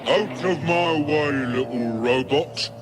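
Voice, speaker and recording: A man's voice: fairly high pitch, slow pace, music in the background. An actor playing a big, boastful, dim-witted battle robot in a radio sci-fi comedy, blustering and stammering as he puzzles things out. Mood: blustering menace.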